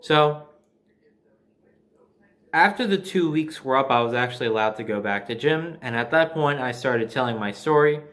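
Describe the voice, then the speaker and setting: A man's voice: mid-range voice, expressive, moderate pace, pauses often, a bit bright. A man from New Jersey with an American accent tells a personal story casually, conversationally, with sardonic asides. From New Jersey, USA.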